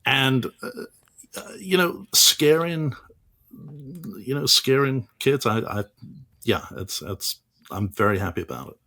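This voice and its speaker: A man's voice: mid-range voice, animated, a little slow, gravelly, pushed and bright. A man being interviewed as an author, speaking hesitantly with many restarts and fillers. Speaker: author and guest. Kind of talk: casual interview.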